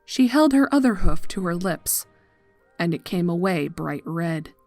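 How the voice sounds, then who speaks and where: Narrator: woman, lowish register, measured dramatic horror storytelling over soft music. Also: lively intonation, no echo.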